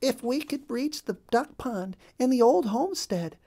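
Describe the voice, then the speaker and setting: A man's voice: extremely high pitch, lively intonation, a little slow, mellow, voice pushed up, heavily acted. A man voicing an anxious, devoted female mallard duck who gushes and exclaims in a storybook reading. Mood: hopeful, longing. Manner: gushing, exclaiming.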